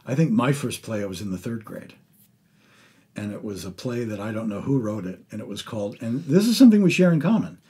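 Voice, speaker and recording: A middle-aged man with a husky voice hosting a conversational interview in a studio. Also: fairly low pitch, animated, fairly quick, mellow. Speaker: host and interviewer. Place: recording studio.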